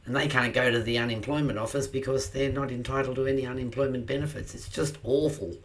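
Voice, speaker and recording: A man with a croaky voice from a cold, hosting his poetry channel and reciting his own rhyming verse in a measured spoken-word delivery. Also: mid-range voice, fairly even intonation, fairly bright tone. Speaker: poet and channel host.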